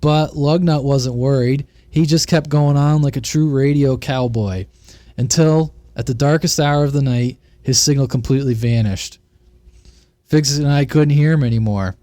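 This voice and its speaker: A man reads a humorous first-person story in a folksy, playful manner. His pitch is medium and the sound is dry and close. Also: moderate pace, warm tone, no echo. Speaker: narrator of CB radio short stories. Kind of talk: scripted comic storytelling narration.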